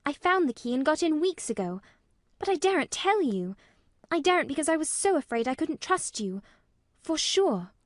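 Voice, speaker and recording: A woman acts out a young storybook girl with a high voice. She is blunt, solemn and earnest, speaking emphatically and plainly in a dry, close sound. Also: sing-song, moderate pace, no room sound. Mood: confessing. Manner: frank, matter-of-fact, emphatic. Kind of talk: acted storybook dialogue.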